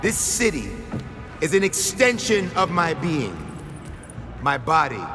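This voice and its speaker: Grandiose crime lord, menacing, hugely varied intonation, deliberate, theatrical game villain, loud score behind. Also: male voice, on the high side, sing-song, over music, exaggerated voice. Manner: theatrical, pompous, deliberate speechifying. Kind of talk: dramatic villain monologue in a game cutscene.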